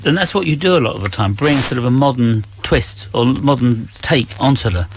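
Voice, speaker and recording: A man's voice: medium pitch, fairly quick, faint music behind. A man hosting a radio interview, asking rambling questions that he keeps correcting mid-sentence. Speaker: radio host.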